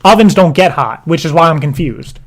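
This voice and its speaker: A man playing an earnest, clueless, stubborn dad, stammering and deadpan as he repeats himself in an improvised prank call. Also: fairly high pitch, fairly quick. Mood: stubbornly puzzled. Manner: hesitant, stammering, repetitive, deadpan.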